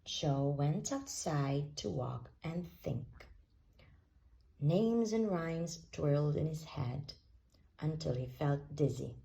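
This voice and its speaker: A woman reading a children's story aloud as an expressive narrator. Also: sits low, takes it slowly, creaky. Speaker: storybook reader.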